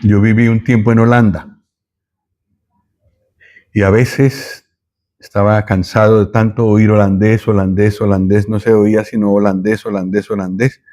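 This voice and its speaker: A man from Colombia, giving a thoughtful Bible teaching with pauses and self-corrections. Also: fairly low pitch, little pitch movement, mellow.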